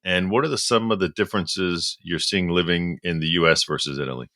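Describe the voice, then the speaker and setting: A man in his fifties hosting a podcast and running a brisk, friendly lightning round over a video call. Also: low voice, sharp tone, dry recording, clean studio sound. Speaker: podcast host. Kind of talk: hosting an interview. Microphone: headset on video call.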